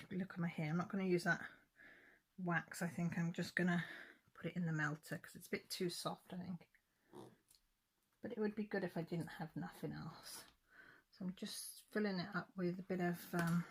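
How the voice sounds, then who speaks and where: A woman's voice: fairly low pitch, fairly even intonation, moderate pace, a little hiss or hum. A woman chatting casually at home while crafting, rambling and correcting herself about the days, a little stuffy with a cold. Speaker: crafting vlogger.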